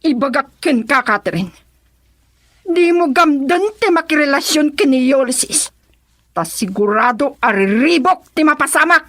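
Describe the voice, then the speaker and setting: Casting: scolding, jealous mother, high-pitched, accusing, melodramatic radio delivery, dry sound. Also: animated, unhurried, no echo. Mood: stern, threatening. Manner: sharp, accusing. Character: bitter, scolding, jealous middle-aged mother and wife. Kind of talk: melodramatic radio drama acting.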